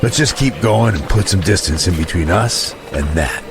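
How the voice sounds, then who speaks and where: An actor playing a grim, cautious scout with a gravelly voice in an audio drama. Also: male voice, low voice, expressive, moderate pace. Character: grim, cautious male scout of a refugee group. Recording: noisy outdoor ambience behind.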